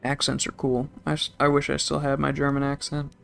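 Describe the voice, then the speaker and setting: A man's voice: medium pitch, completely flat delivery, a little fast, creaky, soft background music. A young man streaming a game, casually chatting and reading out chat messages in a rambling way. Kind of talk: casual chat with live chat while gaming.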